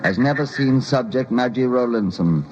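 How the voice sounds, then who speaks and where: An actor playing a brisk, insistent British chief constable in an old-time radio crime drama, clipped and official. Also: male voice, mid-range voice, lively intonation, darkish tone, soft background music, natural voice. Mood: flustered, official. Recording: muffled vintage radio recording.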